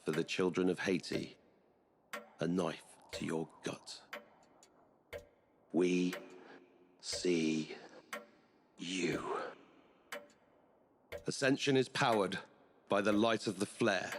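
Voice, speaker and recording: A man reciting a spoken-word poem in a slow, ominous, rhythmic way. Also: sits low, a little hiss or hum.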